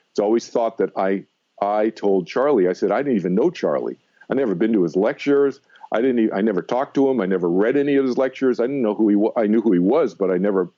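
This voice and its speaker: An American man in his sixties with a mellow, dark voice, talking as an interview guest. He tells stories emphatically and loops back over his points. The sound is slightly dull and lo-fi. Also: fairly low pitch. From Massachusetts, United States. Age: 60s. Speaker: interview guest, meditation teacher. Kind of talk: conversational radio interview, personal storytelling.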